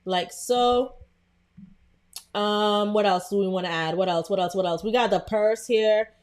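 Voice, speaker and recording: A woman's voice: medium pitch, unhurried, darkish tone. A young woman with a Brooklyn accent hosting a casual live design tutorial, chatty and full of fillers as she greets viewers. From Brooklyn, New York. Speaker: livestream host.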